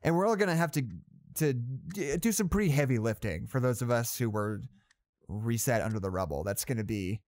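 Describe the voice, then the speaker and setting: Anxious, rambling psychologist, acted by a man, lively pitch. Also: no echo, studio quality, lightly acted. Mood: nervous optimism. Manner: halting, self-correcting, forcedly upbeat. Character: anxious, rambling, well-meaning male psychology department head. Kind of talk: naturalistic audio drama monologue, spoken log.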